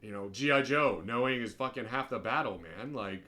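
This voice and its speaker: A man's voice: mid-range voice, moderate pace, pushed and bright. A man in casual stream conversation, rambling through his thoughts with lots of filler words and plenty of swearing. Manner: rambling, profane, heavy on fillers like "like" and "right". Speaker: podcast host. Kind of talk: casual hobby stream chat.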